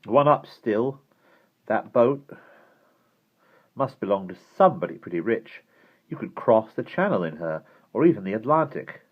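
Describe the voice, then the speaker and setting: A cheeky English schoolboy from a storybook, put on by a man reading aloud. He is boisterous and combative, with a brisk delivery lifted in pitch. The sound is a little dull. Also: big pitch swings, slightly rough. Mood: amiable, keen.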